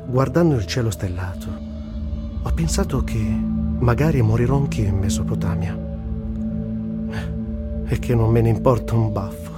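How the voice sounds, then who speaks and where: A man plays a reflective, earthy war reporter reading his travel diary for radio theatre. His pitch sits in the middle, and his delivery is theatrical and self-mocking. Prominent music runs behind the voice. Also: animated, moderate pace, pauses often, close to an everyday voice. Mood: serene, wry. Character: wry, earthy, middle-aged male war journalist and diarist. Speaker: actor reciting a journalist's travel diary.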